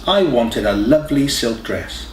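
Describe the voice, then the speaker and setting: A man's voice: mid-range voice, animated, measured pace, close to an everyday voice. A man voicing a playful, teasing young girlfriend with mock exasperation in an audiobook. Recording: echoey room, distant mic, faint hiss.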